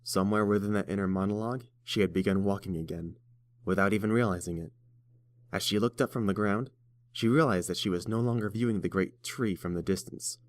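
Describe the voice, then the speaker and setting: Low-voiced man narrating a story. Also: fairly even intonation, a little fast, dry recording, clean studio sound.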